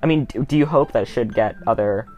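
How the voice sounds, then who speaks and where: A man's voice: mid-range voice, a little fast, a little mellow, faint music behind. A teenage male with an American accent, hosting a casual interview podcast with sarcastic asides.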